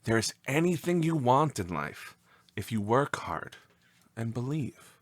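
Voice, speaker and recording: A man voicing an earnest male schoolteacher in a storybook, addressing his class emphatically and deliberately. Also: mid-range voice, very animated, no room sound, voice pushed up. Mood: earnest, inspirational. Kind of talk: storybook character voice.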